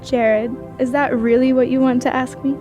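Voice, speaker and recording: A woman's voice: sits high, flat delivery, normal speed, a little mellow, lightly acted. Woman playing a solemn, earnest young messenger, music beneath. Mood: gentle probing. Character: serene earnest young woman bearing a message of faith. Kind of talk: audio drama acting.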